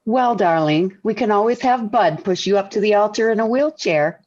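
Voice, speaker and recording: An actress playing a calm, wry mother and wife, soothing and gently firm, in 1940s radio sitcom style. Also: medium pitch, normal speed, close to an everyday voice. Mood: wry, reasoning. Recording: muffled old 1940s radio recording.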